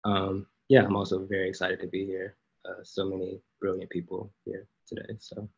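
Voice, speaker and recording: A man with a low, husky voice introduces himself flatly and easily over a video call. The sound is somewhat dull. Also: moderate pace. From Texas City, Texas. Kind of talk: casual self-introduction.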